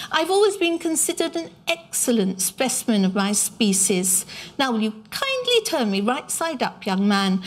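A woman's voice: fairly high pitch, pitched above the performer's own voice. Woman doing a pompous old sand creature. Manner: haughty and indignant.

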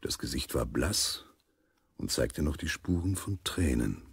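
A male narrator with an extremely low, rough voice, recorded close and dry. He tells a children's adventure story with amusement, speaking to young listeners. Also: expressive, measured pace, no echo, heavily acted. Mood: amused storytelling. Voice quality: gravelly, husky. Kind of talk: scripted narration of a children's audio drama.

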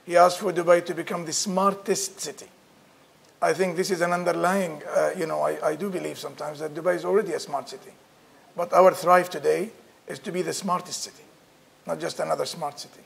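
A middle-aged man opens the event from a podium microphone in a lecture room. His voice sits a little high and carries a gravelly edge, and his delivery is formal with small restarts. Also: steady tone, fairly quick. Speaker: host introducing a speaker. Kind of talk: formal introduction.

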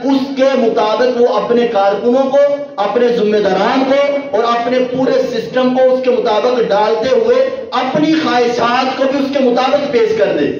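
A man's voice: very high-pitched, darkish tone. Young man lecturing in an echoey hall. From Pakistan. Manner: explanatory, building points with repeated parallel phrases; gesturing with both hands while speaking. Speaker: speaker at an organizational convention. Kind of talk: lecture to an audience. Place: indoor convention hall with banner behind. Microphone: stand mic at a podium. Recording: very echoey hall, distant lo-fi mic.

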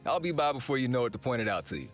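A man's voice: mid-range voice, big pitch swings, very fast, soft background music, natural voice. An actor playing a friendly, helpful townsman in a video game, welcoming and neighborly.

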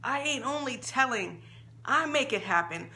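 Story character: woman performing an unstable mother, high and bright, childlike, insistently pleading. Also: lively intonation, unhurried, heavily acted. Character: troubled mother in a little girl's voice, insistent, delusional.

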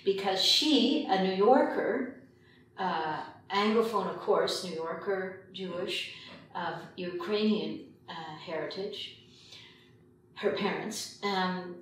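An older woman from Quebec reflecting in an interview as a filmmaker, pausing often mid-sentence. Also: mid-range voice. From Quebec, Canada. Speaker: documentary filmmaker being interviewed. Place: indoor meeting room at a long table. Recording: very echoey room, distant mic, faint noise.

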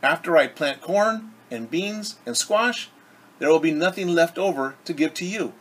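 A man's voice: fairly high pitch, animated, measured pace, slightly rough, voice pushed up. A man voicing a practical, dismissive young farmer husband from a storybook in an emphatic, matter-of-fact way. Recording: faint background room noise.

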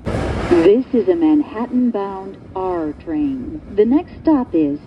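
A woman giving pre-recorded subway announcements in a measured, carefully enunciated, pieced-together delivery. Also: very animated. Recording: muffled train speaker, vehicle noise. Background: subway car rumble.

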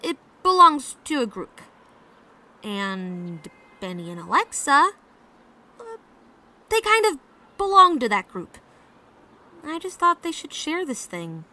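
A woman's voice: sits high, big pitch swings, slow pace, pauses often, bright, forceful tone, full character voice. A woman acting an earnest young girl in an audio drama. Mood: uneasy. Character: earnest young girl, one of Puerto Rican twins.

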